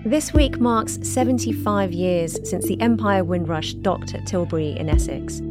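A woman hosting a news podcast, reading scripted narration and asking guests clear, measured questions. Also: mid-range voice, animated, loud music behind the voice. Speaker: podcast host.

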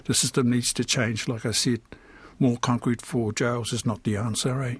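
An older New Zealand man with a husky, medium-pitched voice. He talks as a guest in a reflective interview, candid and colloquial, often dropping in "you know" and "eh". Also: fairly even intonation, moderate pace. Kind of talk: reflective personal interview talk.

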